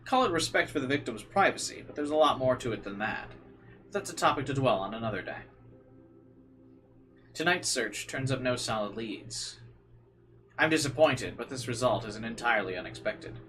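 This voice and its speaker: A man's voice: mid-range voice, animated, normal speed, sharp tone, a little hiss or hum. A man reading visual novel narration aloud as a streamer, dropping into informal side comments and occasionally stumbling over words.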